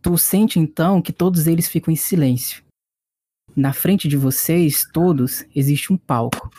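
A man narrating as game master of a tabletop horror-comedy game, slow and halting with restarts. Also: fairly high pitch, warm tone.

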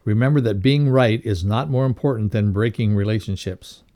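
Male co-host, soft mellow tone, measured and instructive read of a self-improvement lesson. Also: fairly low pitch. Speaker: co-host reading a values lesson. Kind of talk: read-aloud motivational lesson.

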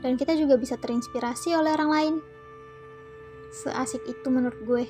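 A woman's voice: high voice, steady tone, fairly quick, over music. A woman hosting a solo podcast in a casual, slangy, playful chat. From Indonesia. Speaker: podcast host.

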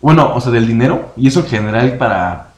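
A young man with a Mexican Spanish accent telling an anecdote in a relaxed podcast chat, imitating other people's lines. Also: mid-range voice, a little fast, crowd noise. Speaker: podcast co-host. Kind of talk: casual storytelling chat. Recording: echoey room, faint noise.

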